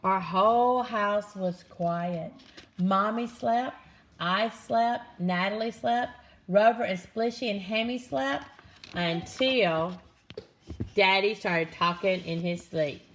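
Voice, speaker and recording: A woman reading a children's picture book aloud in an expressive, dramatic manner. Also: mid-range voice, takes it slowly. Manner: animated, expressive, with dramatic gasps and exclamations. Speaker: storybook reader.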